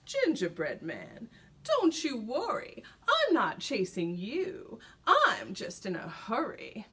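A woman voicing a sly old male fox, speaking slowly with coaxing, falsely polite charm, a bit away from the mic. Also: fairly high pitch, expressive, fairly bright tone, breathy edge, far from the mic, heavily acted.

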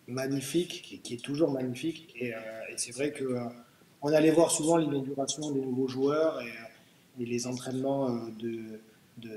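A man's voice: mid-range voice, dark tone. A man in his thirties, a guest answering interview questions over a video call, hesitating often with many fillers and restarts. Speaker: guest footballer. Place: small room with bare wall. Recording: echoey room, distant mic, faint noise.

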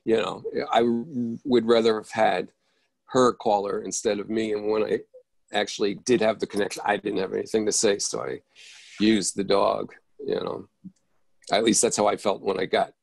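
A man's voice: fairly low pitch, flat delivery, normal speed, creaky, no room sound. A man speaking casually on a video call, reflecting as a play reader. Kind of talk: casual chat. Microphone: video call microphone.

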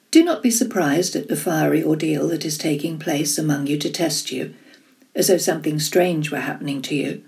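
A woman reading scripture aloud slowly and deliberately. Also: sits low, vocal fry, darkish tone, far from the mic. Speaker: scripture reader.

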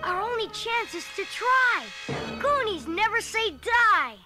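An actor voicing an earnest, determined young kid in a storybook read-along, speaking in an urgent, animated way. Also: extremely high pitch, slow, over music, heavily acted.

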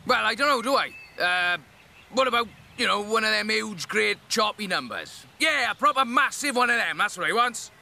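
Small round game creature, British accent, indignant, theatrical, creaky edge, high voice, noisy backdrop. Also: male voice, animated, normal speed, bright, forceful tone, heavily acted. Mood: impatient. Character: exasperated small round creature, comic stage straight man. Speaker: stand-up comedy double act straight man. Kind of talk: comedy sketch banter.

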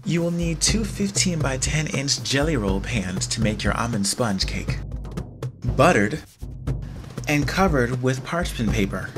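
Man narrating a recipe over loud music. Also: medium pitch. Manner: steady instructional delivery. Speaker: cooking show host.

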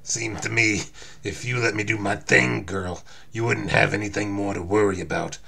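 Surly comic-book brawler, played by a man: tough, cranky, gruff growled threats, low and raspy. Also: steady tone, moderate pace, pushed and bright, full character voice. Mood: surly threatening.

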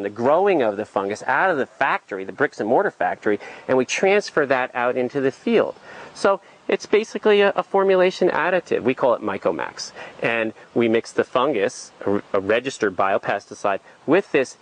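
A man working as a researcher, explaining his experiments in an unhurried way with filler pauses. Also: mid-range voice, animated, low-quality recording.

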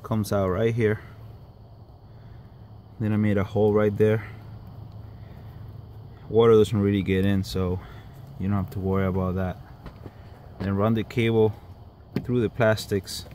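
A man with a somewhat low, monotone voice talks through a hands-on car tutorial. He gives terse, informal instructions one step at a time. The handheld recording, made around a parked vehicle, carries clear background noise. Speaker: DIY car owner giving a how-to. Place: in and beside a parked car, outdoors. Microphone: handheld camera or phone mic.

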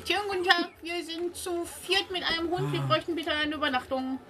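A woman's voice: extremely high pitch, fairly even intonation, a little fast, pushed and bright. A woman playing in a tabletop role-playing game, casually switching between character lines and describing her moves. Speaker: player. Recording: distant from the mic, music behind.

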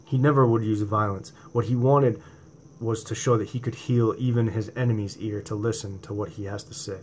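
A man in his forties with a New England accent, preaching informally to his viewers from home and talking into a webcam, swinging from impassioned, rising emphasis to a quieter conversational tone. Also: on the low side, steady tone, fairly quick, slight background noise. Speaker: religious video host. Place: home room indoors at night. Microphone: webcam or laptop camera from below.

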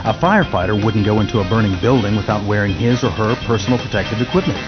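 A man's voice: fairly low pitch, quick pace. A man reading scripted, presentational narration for a training film. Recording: dull audio under loud guitar music. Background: loud guitar music.

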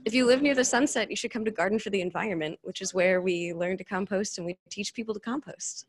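A woman presents at a tech meetup in an informal, enthusiastic way. Her voice sits at an average pitch, and she talks to the room as if chatting with colleagues. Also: fast, slightly rough. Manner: informal, peppered with "like" and enthusiastic asides. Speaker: conference speaker, cloud security engineer. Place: meetup room before a seated audience.

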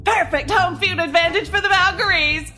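A woman's voice: very high voice, normal speed, pushed and bright, soft background music. A woman playing a perky, gushing space-colony TV weather presenter in an audio drama, bubbly and chummy. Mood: bubbly, excited.

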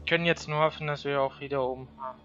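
A man's voice: medium pitch, steady tone, racing, a bit bright, lo-fi sound, soft background music. A young man chatting casually while playing a video game, blunt and teasing in a slangy way. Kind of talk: casual gaming chat.

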